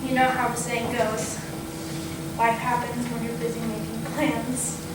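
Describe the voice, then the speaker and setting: A woman's voice: on the high side, little pitch movement, a little slow. A young woman reading a prepared, heartfelt eulogy to a gathering, earnest with touches of dry humour. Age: young adult. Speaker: niece giving a memorial tribute. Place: indoor memorial gathering at an acting studio. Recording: very echoey, distant, noisy room recording.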